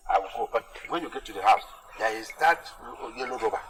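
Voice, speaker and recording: A man's voice: lowish voice, lively intonation, quick pace, close to an everyday voice, rough recording. A man with a Nigerian accent acting an eager, boastful village man in a film comedy-drama. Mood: eager, coaxing. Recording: background music behind dialogue.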